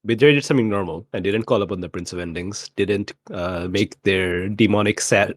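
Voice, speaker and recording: Low-voiced man casually recapping a game. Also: fairly quick, dry recording. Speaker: tabletop role-playing player.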